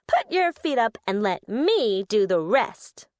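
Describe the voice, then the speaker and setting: Casting: female reader playing a helpful, eager storybook go-getter, high and lively, earnest delivery. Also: slow pace, no room sound, clean studio sound. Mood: confident, helpful.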